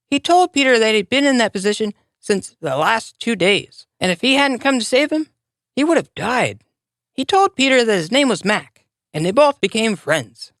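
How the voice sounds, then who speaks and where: A storyteller narrates a moral tale for children. The voice sits at a medium pitch and moves in lively swings, with deliberate, expressive phrasing. The sound is dry, with hardly any room in it. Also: no echo.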